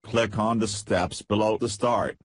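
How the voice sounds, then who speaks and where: A man reads a scripted step-by-step software tutorial in a measured, instructional manner. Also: on the low side, steady tone, no echo, clean studio sound.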